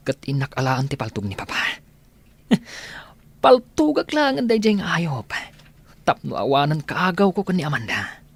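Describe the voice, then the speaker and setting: Spoiled young man played by a man, boastful, cocky laughter, husky tone, radio drama. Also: fairly high pitch, a bit bright, full character voice. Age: young adult. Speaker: college-age son. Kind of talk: Ilocano radio-drama acting.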